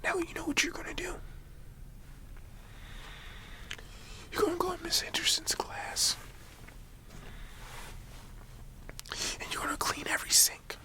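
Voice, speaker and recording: A man's voice: very high voice, little pitch movement, fast talker, bright, forceful tone, full character voice. Man whispering as a stern, caring school principal. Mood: stern, warning. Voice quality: breathy, unvoiced whisper. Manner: informal, admonishing, folksy phrasing. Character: stern but caring male high school principal scolding a student. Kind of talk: whispered ASMR roleplay.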